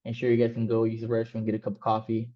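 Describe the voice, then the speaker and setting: A man's voice: lowish voice, monotone, racing. A man whose first language is Spanish, teaching an online coding class in a loose, casual way with many fillers and restarts. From Mexico. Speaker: coding instructor. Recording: slightly dull, lo-fi sound.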